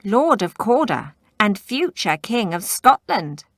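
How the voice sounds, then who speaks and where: A woman's voice: mid-range voice, big pitch swings, takes it slowly, fairly bright tone, dry recording, clean studio sound, voice pushed up, heavily acted. A woman voicing an old, ugly witch in a storybook, proclaiming with a cackling manner. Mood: eerie proclaiming. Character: old ugly witch hags hailing a traveller.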